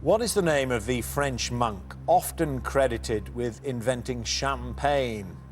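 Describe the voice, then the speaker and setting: A middle-aged man hosting a TV quiz in a studio, reading questions briskly and teasing the contestants. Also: mid-range voice, a little hiss or hum. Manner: brisk, teasing, reads multiple-choice questions. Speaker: quiz show host.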